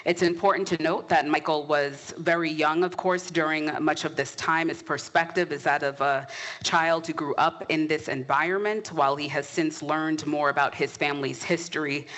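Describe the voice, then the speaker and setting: A woman hosting a museum program, reading a formal introduction and then asking interview questions in a measured way. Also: sits low, a bit bright, low-quality recording. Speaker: host and moderator.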